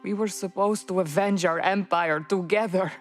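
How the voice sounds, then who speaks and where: A woman's voice: medium pitch, fairly even intonation, moderate pace, faint music behind, close to an everyday voice. A woman playing a vengeful, bitter revolutionary in a video game, delivered dramatically and indignantly. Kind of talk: video game cutscene acting.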